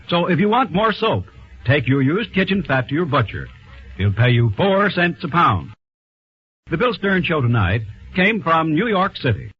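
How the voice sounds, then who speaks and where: Male commercial announcer, 1940s radio ad style, lively pitch swings, raspy, muffled vintage recording. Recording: muffled 1940s radio broadcast, music behind.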